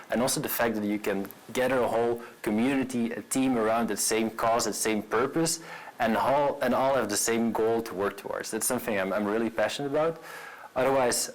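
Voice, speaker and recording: A man in his late twenties speaks English with a Belgian accent in a somewhat low voice. He talks as an entrepreneur being interviewed, earnest and a little halting, doubling back on words as he explains himself. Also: poor audio. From Brussels, Belgium. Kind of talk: personal profile interview to camera.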